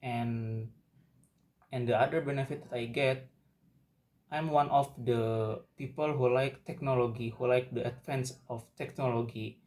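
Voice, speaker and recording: A young Indonesian man speaks English at a very unhurried pace, pausing and repeating words as he restarts his sentences. His voice sits at an ordinary pitch for a man, and he talks like a student giving a spoken assignment. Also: fairly even intonation, darkish tone. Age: young adult. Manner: slow, halting, with frequent restarts and repeated words. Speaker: university student. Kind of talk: spoken class assignment monologue.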